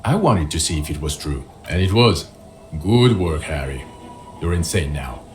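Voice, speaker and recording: Low, bitter sarcastic male cop. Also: big pitch swings, moderate pace, slight background noise. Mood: bitter sarcasm. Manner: sarcastic, blunt, weary. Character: bitter, exhausted, sarcastic male police partner. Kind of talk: video game voice acting. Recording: music behind the voice.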